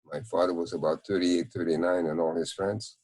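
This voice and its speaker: A man in his nineties with a Hungarian accent, recounting memories in an interview in a measured, halting way. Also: low-pitched, steady tone, darkish tone. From Czechoslovakia. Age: 90s. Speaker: Holocaust survivor, interviewee. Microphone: video call.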